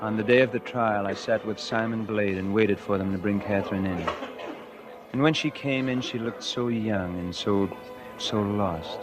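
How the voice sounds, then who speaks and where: Male actor: remorseful murderer-narrator, low register, 1940s radio noir, musical backing. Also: moderate pace, natural voice, rough recording. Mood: brooding, tense. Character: guilt-ridden murderer confessing, narrating his own downfall. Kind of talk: 1940s radio drama first-person narration. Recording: 1949 radio recording with music.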